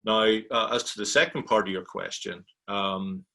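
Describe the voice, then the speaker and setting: A man speaking as an industry panelist, explaining points in a measured, conversational way. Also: fairly low pitch, vocal fry, pushed and bright. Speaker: technology provider, panelist.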